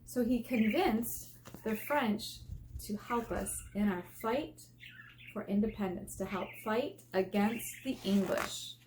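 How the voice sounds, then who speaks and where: A woman's voice: mid-range voice, takes it slowly, a little mellow, slight background noise. An American woman, an elementary school teacher, reading aloud to children and adding simple explanations as she goes.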